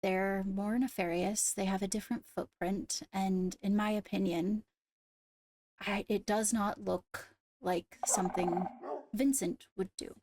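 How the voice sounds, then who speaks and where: A woman playing a measured, diligent fantasy court investigator in a roleplay, with formal, deliberate, slightly hesitant phrasing and a creaky voice. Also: medium pitch, fairly even intonation, a little mellow, a little hiss or hum, lightly acted. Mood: serious, reasoning. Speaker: roleplay player acting as an investigator. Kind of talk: improvised in-character roleplay dialogue.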